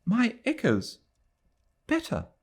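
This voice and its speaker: A man's voice: wildly swooping pitch, slow, husky, full character voice. Man doing a pleading, distraught young woman. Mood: anguished pleading. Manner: high, pleading and breathless. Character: young Englishwoman, distraught and remorseful.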